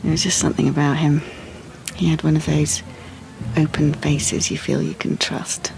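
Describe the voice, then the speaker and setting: A woman playing a calm, self-contained young artist in an audio play, with a dry manner. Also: sits low, normal speed, vocal fry, rough recording. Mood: reflective. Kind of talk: audio play monologue and dialogue. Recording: music behind the voice.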